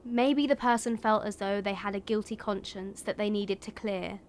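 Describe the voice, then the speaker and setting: A woman's voice: mid-range voice, steady tone, moderate pace, a little hiss or hum, close to an everyday voice. A woman playing a young, puzzled, analytical police detective in a first-person audio drama monologue. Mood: puzzled, pondering. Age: young adult.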